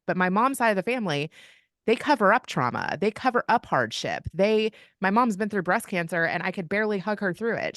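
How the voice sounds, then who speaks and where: A woman's voice: lowish voice, a bit bright, no room sound, clean studio sound. A woman from Kentucky, a podcast guest, telling family stories in an open, chatty way. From Kentucky, United States. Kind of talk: casual interview conversation.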